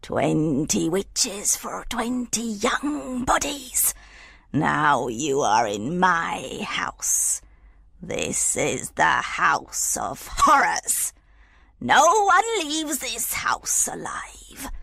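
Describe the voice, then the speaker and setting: A woman playing the menacing, gloating ghost of a hanged witch in a storybook drama, with sinister, theatrical delivery and breathy whispers. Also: fairly low pitch, sing-song, very slow, pushed and bright, no echo, studio quality, heavily acted. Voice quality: husky, creaky, breathy whispers.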